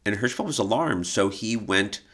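A man's voice: on the low side, lively intonation, normal speed, a bit bright. A man co-hosting a podcast, telling anecdotes in a casual conversational style and acting out the quoted dialogue. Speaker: podcast co-host. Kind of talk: casual conversational storytelling about art history.

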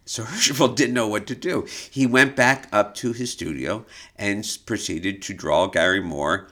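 A man's voice: lowish voice, unhurried, a bit bright. A man co-hosting a podcast, telling anecdotes in a casual conversational style and acting out the quoted dialogue. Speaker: podcast co-host. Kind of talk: casual conversational storytelling about art history.

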